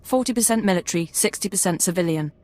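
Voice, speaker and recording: An actress playing a self-assured, analytical intelligence officer in a video game, formal and controlled. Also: female voice, lowish voice, fast talker, darkish tone, lightly acted. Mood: businesslike. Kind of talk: video game drama acting.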